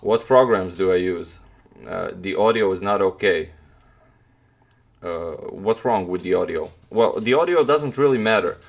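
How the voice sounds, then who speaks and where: A man's voice: low-pitched, a little slow, creaky. A young man with a Croatian accent, chatting loosely with viewers on a live stream, hesitant with many fillers. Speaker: chess streamer answering chat. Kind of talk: casual live-stream chat.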